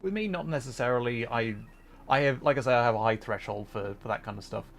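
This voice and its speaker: A man's voice: medium pitch, fast talker, slight background noise. A man hosting a livestream, chatting casually between bits of game commentary in a hesitant, thoughtful, self-effacing way. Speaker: livestream host, VTuber gamer.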